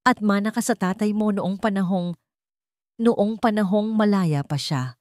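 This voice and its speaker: A woman's voice: mid-range voice, a little slow, a little mellow, no echo, pitched above the performer's own voice, lightly acted. Forgiving mother played by a woman, Filipino accent, earnest, direct, tender but steadfast, radio-drama role, dry sound. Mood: gentle conviction.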